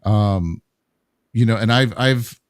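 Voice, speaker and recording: A man's voice: lowish voice, slow pace, smooth, dry recording, studio quality. A man co-hosting a podcast, chatting casually in an easygoing, agreeable way and asking questions. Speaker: co-host.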